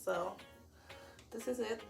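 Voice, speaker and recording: A woman's voice: a little high, takes it slowly, vocal fry, loud music behind the voice. A woman from Liberia, chatting casually through a wig unboxing tutorial and calling her viewers sis and y'all. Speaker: beauty vlogger.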